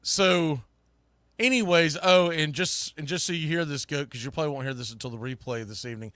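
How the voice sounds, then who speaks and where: A man's voice: fairly high pitch, fairly quick, fairly bright tone, dry recording. A man hosting a live sports talk podcast, speaking casually and emphatically with informal, opinionated, mildly salty phrasing.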